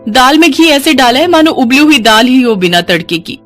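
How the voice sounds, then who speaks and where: Spiteful rich daughter-in-law acted by a woman storyteller: raised pitch, whiny, scheming, family story drama. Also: big pitch swings, moderate pace, faint music behind. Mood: whiny, accusing. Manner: whiny complaining, pointed.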